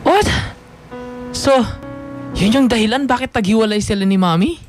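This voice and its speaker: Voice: medium pitch, lively intonation, moderate pace, music in the background, lightly acted. A performer playing a hot-tempered, wounded young adult in a radio drama, demanding answers in a confrontational manner. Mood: confused, demanding. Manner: confrontational, demanding, slips between Tagalog and English.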